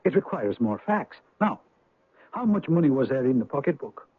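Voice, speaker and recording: Teasing police sergeant, swooping pitch. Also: male voice, very animated, moderate pace, breathy edge, no echo. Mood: probing, puzzled. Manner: mock-official, wry, questioning. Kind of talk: old-time radio drama acting. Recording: dry vintage radio recording, muffled.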